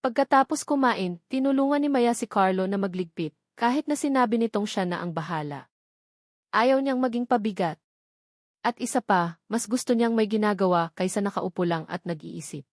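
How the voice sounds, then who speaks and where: A woman narrating a scripted romantic story in an expressive storytelling manner. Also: mid-range voice, normal speed, dry recording, studio quality. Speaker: audiobook narrator.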